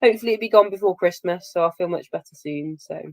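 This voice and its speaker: A British woman co-hosting a livestream while full of a cold, playful and excitable in casual chat. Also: fairly low pitch, fast talker, no echo. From United Kingdom. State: has a cold. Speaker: co-host.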